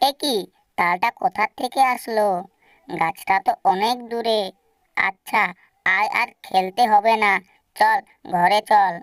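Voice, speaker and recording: A woman voicing a caring, indulgent mother bird in a family cartoon, with a gentle manner. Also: mid-range voice, slow, pauses often, distant mic, faint music behind, close to an everyday voice. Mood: puzzled. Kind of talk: scripted cartoon voice acting.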